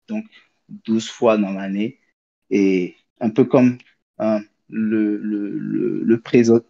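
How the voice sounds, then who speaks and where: A man's voice: fairly low pitch, dark tone, highs cut off. A man from Benin giving an informal presentation as a guest over an online call, hesitant with many fillers and restarts. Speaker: guest speaker.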